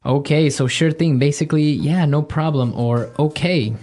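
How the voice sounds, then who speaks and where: Mellow male lesson host. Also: a little high, moderate pace. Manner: repeats phrases and asks checking questions. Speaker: podcast co-host, English teacher. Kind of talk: conversational vocabulary lesson.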